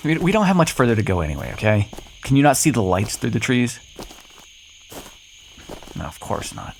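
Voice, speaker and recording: A man's voice: mid-range voice, big pitch swings, normal speed, slight background noise, poor audio. A man playing a cocky, flirtatious, sardonic vampire in an ASMR roleplay, teasing the listener with pet names, sarcasm and swearing. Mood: exasperated.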